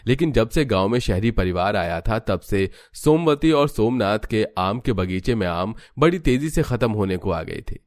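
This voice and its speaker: Low-voiced male storybook narrator. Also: animated, normal speed, few pauses, dry recording. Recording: music under the voice.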